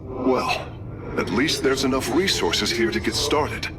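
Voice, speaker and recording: A man's voice: mid-range voice, a little slow, over music, lightly acted. A man voicing an earnest, dutiful alien engineer in a video game, with formal, precise phrasing. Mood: focused, pondering. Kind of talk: dramatic video game voice acting.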